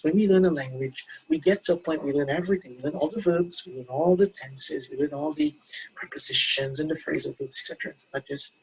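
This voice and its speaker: Male English teacher, slightly husky voice pitched a little high, encouraging and chatty, repeats phrases for learners. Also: low-quality recording. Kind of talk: casual English lesson to camera.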